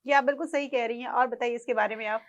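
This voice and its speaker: A woman's voice: fairly high pitch, steady tone, rapid-fire. A woman hosting an interview in a conversational, agreeable way. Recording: dull lo-fi sound.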